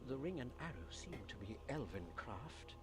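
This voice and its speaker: A man's voice: mid-range voice, big pitch swings, moderate pace, natural voice. A man voicing a distraught, devout old monk in a video game, faltering and pleading with emphasis. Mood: troubled. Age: older. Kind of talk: scripted video game character acting.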